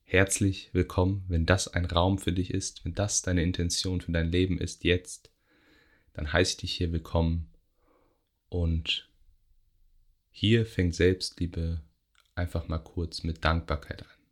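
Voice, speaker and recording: Male meditation guide: low, even pitch, soft husky tone, gentle phrasing with pauses. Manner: gentle, unhurried, with long pauses between short phrases. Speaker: yoga nidra meditation guide. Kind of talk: guided meditation, soothing relaxation instruction.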